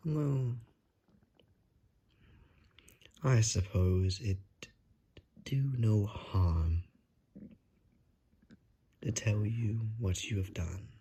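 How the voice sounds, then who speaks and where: Suave ASMR vampire, acted by a man: very breathy and husky, unhurried, politely menacing and seductive. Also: low voice, mellow, exaggerated voice. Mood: silky, coaxing. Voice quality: breathy, husky, half-whispered. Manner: courtly, drawn-out, seductive menace. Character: suave, predatory vampire, coaxing and darkly polite. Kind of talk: ASMR roleplay, slow and whispery.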